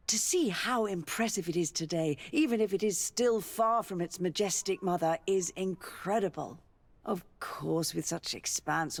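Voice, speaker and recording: An actress playing a learned, diplomatic noblewoman governor in a video game, speaking in a measured, formal way. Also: medium pitch, close to an everyday voice. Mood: proud. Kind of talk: scripted video game dialogue.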